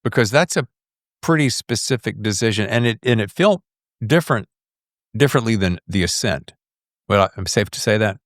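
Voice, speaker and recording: A man's voice: fairly low pitch, animated, moderate pace, dry recording, clean studio sound. A man hosting a podcast, interviewing in a casual, conversational and enthusiastic way.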